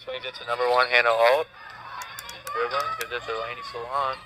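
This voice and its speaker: A man's voice: medium pitch, flat delivery, brisk, bright, forceful tone, poor audio. A man calling a basketball game as a play-by-play commentator. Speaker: sports commentator.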